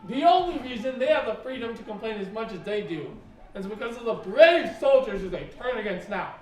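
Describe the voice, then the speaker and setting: A man's voice: extremely high pitch, animated, brisk, a little mellow. An actor playing an indignant, conservative American father who rants in a declamatory way on stage. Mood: outraged. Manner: ranting, declamatory. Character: gruff, indignant conservative middle-aged American father and husband. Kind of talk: stage acting in a one-act play. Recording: echoey stage recording, distant mic.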